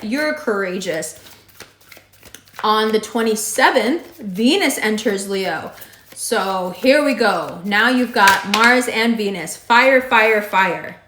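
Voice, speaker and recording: A woman with a raspy voice giving a monthly horoscope reading, direct and emphatic, repeating her advice. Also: medium pitch, a bit bright. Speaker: astrology reader. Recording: echoey room.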